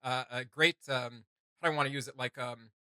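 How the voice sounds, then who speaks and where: A man co-hosting a casual reaction video, talking in an animated, rambling way and searching for words aloud. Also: mid-range voice, brisk, smooth, no echo, studio quality. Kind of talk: casual rambling discussion to viewers.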